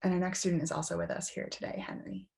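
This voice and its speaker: A woman facilitating a session and introducing video clips in a measured, explanatory way. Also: fairly low pitch, level tone, gravelly, a little mellow.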